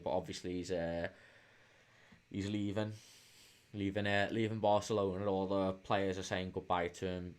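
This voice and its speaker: A man with a Liverpool accent hosting a casual football watch-along stream, chatting informally and hesitantly as he answers viewers' comments. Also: fairly low pitch. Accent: Liverpool English. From England, Liverpool. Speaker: livestream host.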